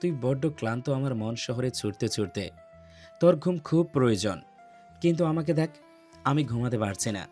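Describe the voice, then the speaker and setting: A man's voice: medium pitch, lively intonation, moderate pace, darkish tone, faint music behind, close to an everyday voice. A man playing an intense, restrained, protective young man in love in a Bengali audio love story. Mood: tender, aching.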